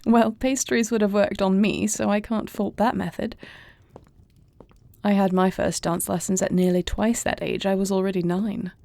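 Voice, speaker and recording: A woman playing an earnest young princess and heir in an intimate audio roleplay, soft-spoken and formal in her phrasing. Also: medium pitch, level tone, normal speed, vocal fry, lightly acted. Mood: fond, playful. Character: earnest young princess and warrior heir, anxious yet tender.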